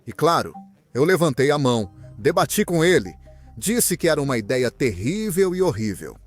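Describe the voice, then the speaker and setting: A young man from Venezuela, a guest in an interview, telling his story in an earnest, animated way. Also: on the high side, normal speed, faint music behind. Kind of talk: interview answers, personal story.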